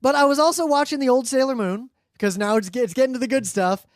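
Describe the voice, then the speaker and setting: A man's voice: extremely high pitch, fast talker, no echo, studio quality. A man co-hosting a podcast in casual geeky chat, explaining things in a rambling, enthusiastic way. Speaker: podcast co-host.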